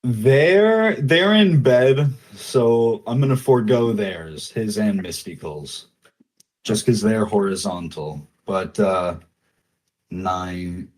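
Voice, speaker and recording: A man narrating as game master, speaking haltingly with frequent fillers. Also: fairly low pitch, lively intonation, a little mellow. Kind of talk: narrating a tabletop game online.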